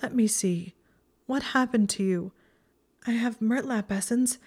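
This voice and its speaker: A woman's voice: medium pitch, moderate pace, a little mellow, natural voice. A woman voicing an anguished, guilt-ridden and fierce young female student in an audiobook, urgent and insistent. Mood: anguished, apologetic.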